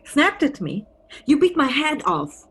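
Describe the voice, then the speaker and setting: An actress playing a wry, wistful married police detective from Antwerp in an audio play, musing conversationally. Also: female voice, high voice, sing-song, measured pace, fairly bright tone, heavily acted. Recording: noisy recording with background ambience.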